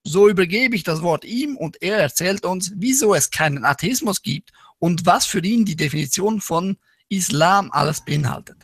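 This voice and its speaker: A man with a Swiss German accent hosts in a fairly high voice full of creak. He reads a scripted introduction in formal, measured wording, recorded very dry and close. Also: animated, dry recording. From Switzerland. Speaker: host. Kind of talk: scripted introduction, read aloud.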